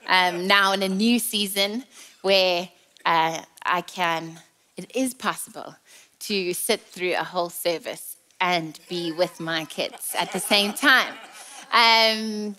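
A woman's voice: lowish voice, takes it slowly, bright, forceful tone, a little hiss or hum. A woman in her thirties with a South African accent, preaching a sermon in a chatty, playful, teasing way on a large church stage. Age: 30s.

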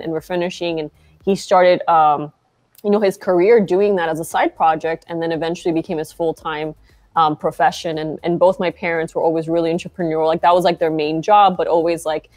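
A woman's voice: lowish voice, a little mellow. Chatty young woman on a video call. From Argentina. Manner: chatty, many fillers like "um" and "like". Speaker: guest founder. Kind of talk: casual interview chat. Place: bright shop interior, video call.

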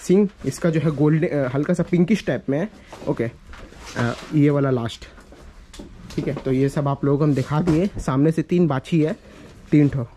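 Bright-voiced young man vlogging. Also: a little high, normal speed. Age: young adult. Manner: repetitive, instructive, addressing viewers. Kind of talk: casual walking vlog, giving directions and farm tour. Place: village lanes outdoors and cattle shed. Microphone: handheld camera at arm's length. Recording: noisy handheld outdoor recording, ambient sound.

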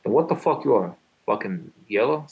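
A man in his twenties with a gravelly, husky voice, bantering curtly and sweary over a video call. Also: lowish voice, lively intonation, normal speed, a little mellow, low-quality recording. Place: room at home.

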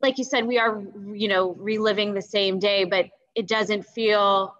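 A woman's voice: medium pitch, steady tone, measured pace, fairly bright tone, muffled recording. Young woman chatting, searching for words. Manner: hesitant, searching for words, frequent "you know". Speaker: book club co-host. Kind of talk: casual book discussion. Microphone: video call computer mic.

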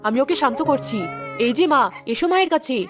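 A cartoon queen, gentle and grateful, high and quick in delivery, as a woman voices her. Music plays under her. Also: expressive. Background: background music.

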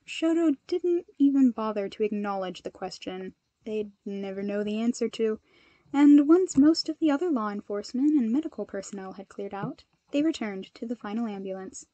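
A woman narrator with an expressive storytelling style. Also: fairly high pitch, normal speed, dry recording, muffled recording. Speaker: fan fiction narrator.

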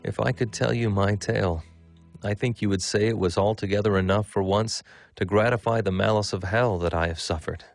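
An actor reading old letters as a devout 19th-century husband, earnest, formal and solemn, for a documentary. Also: male voice, lowish voice, fairly even intonation, a little fast, creaky, dry recording, soft background music. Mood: sorrowful longing. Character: devout 19th-century husband writing tenderly to his wife.

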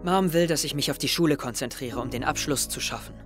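A man's voice: mid-range voice, quick pace, a bit bright, soft background music, natural voice. A young man playing an introspective, wry teenage schoolboy who narrates his thoughts in an audio drama. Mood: wry, confiding. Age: young adult. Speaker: actor narrating as the main character. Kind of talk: audio drama inner monologue.

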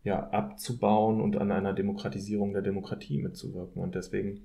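Low-voiced guy on an academic podcast, pretty monotone, picks his words hesitantly. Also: gravelly. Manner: hesitant, measured, academic phrasing. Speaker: podcast guest, political scientist. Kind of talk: thoughtful academic podcast discussion.

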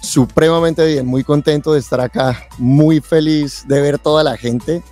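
A man's voice: on the high side, fairly even intonation, unhurried, dark tone, faint music behind. A man, telling his own story casually as an interview guest. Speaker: interview guest, tax lawyer and accountant. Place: convention event booth, indoors. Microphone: close boom-arm microphone with headset.

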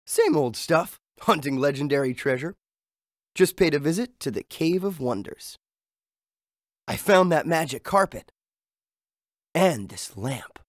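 Earnest, lively young adventurer. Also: male voice, on the high side, a little slow, long pauses, no room sound, studio quality. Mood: easygoing. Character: earnest, good-hearted young male street adventurer. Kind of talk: animated game hero acting.

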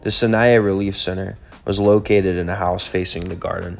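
A man's voice: low voice, steady tone, moderate pace, gravelly, faint music behind. A man hosting a travel vlog, narrating a city walking tour in an informative, easygoing way. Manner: informative, easygoing, with casual fillers.

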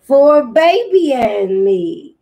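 A middle-aged woman giving a deliberate demonstration for parents of babies, sometimes in singsong baby talk, recorded at home on a webcam microphone. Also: high-pitched, sing-song, warm tone. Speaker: early childhood teacher, host of infant read-along. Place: room at home.

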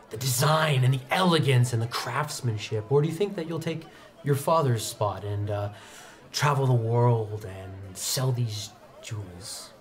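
A man's voice: mid-range voice, lightly acted. A man voicing a polite, well-off middle-aged merchant with a formal, humble way of speaking.

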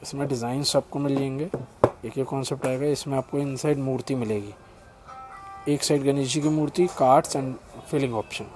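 A middle-aged man selling in a shop, giving a brisk, polite sales pitch and addressing the customer as sir. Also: steady tone, over music. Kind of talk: product demonstration for a customer. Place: small indoor shop.

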